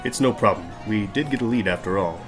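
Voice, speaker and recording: A man playing a confident, smooth-talking leader of adventurers in a fantasy audio drama, polite and persuasive. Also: lowish voice, fast talker, soft background music, natural voice. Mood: easygoing. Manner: polite, persuasive, formal with strangers.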